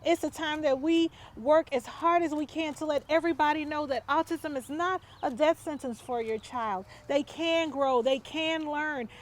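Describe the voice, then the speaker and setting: A woman's voice: high-pitched, moderate pace, few pauses. A middle-aged woman, a mother talking casually with her family and to the camera.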